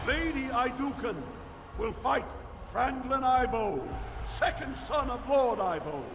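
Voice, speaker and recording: A ceremonial dwarf announcer proclaims in a fantasy video game. His delivery is slow and grand, raised in pitch. It carries through a reverberant hall with music behind. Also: expressive, noisy background, full character voice. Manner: ceremonial, declamatory. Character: formal, booming dwarf arena master of ceremonies.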